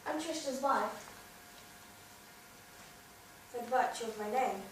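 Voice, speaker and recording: A wounded, defiant wife of old legend speaks from a distance in a very echoey room, played by a young woman. Her voice is high and deliberate, each short phrase weighted with theatrical bitterness. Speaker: drama student performing an exam monologue. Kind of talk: theatrical stage monologue. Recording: very echoey room, distant mic, faint noise.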